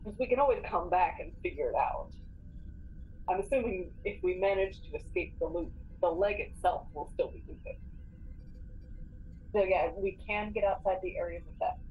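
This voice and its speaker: A woman's voice: lowish voice, moderate pace. A woman talking casually as a player in a tabletop game, planning moves aloud. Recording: dull lo-fi mic, background noise.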